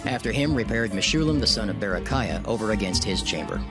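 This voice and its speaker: A man's voice: lowish voice, expressive. Solemn male scripture narrator over music. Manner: measured, solemn reading cadence. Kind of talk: scripted dramatized scripture reading. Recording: loud background music under the voice.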